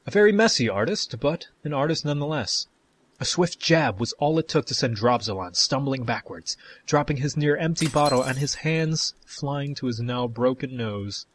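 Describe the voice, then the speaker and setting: A man's voice: medium pitch, animated, moderate pace, a little hiss or hum, natural voice. A man performing as a genial tale-teller, narrating a scripted fantasy story in a dramatic storytelling style. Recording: music behind the voice. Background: music.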